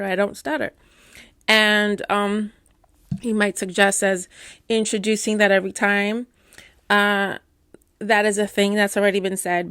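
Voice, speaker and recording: A middle-aged woman doula who stutters, giving candid, warm advice to new doulas in a casual talk with playful asides. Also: medium pitch, no echo, clean studio sound. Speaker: doula and course teacher.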